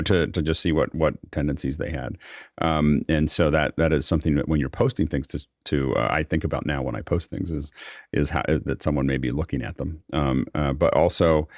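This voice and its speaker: Really low creaky male voice, chatty host who rambles through stories, close dry sound. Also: darkish tone, no echo. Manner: rambling, anecdotal explanations. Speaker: host and moderator. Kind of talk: casual panel discussion.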